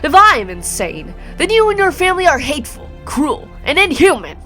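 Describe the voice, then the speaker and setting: A woman's voice: sits high, very animated, brisk, a bit bright, soft background music, pitched above the performer's own voice. A woman reading the part of a mad, bitter male villain sorcerer in a grandiose, formal video game monologue. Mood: bitter, vengeful.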